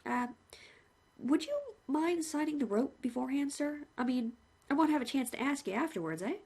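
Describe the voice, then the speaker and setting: A woman voicing a cheerful, chatty male hangman who talks shop politely and brightly. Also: animated, fast talker, creaky, breathy edge, full character voice. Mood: cheery. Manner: cheerful, chatty, higher-pitched.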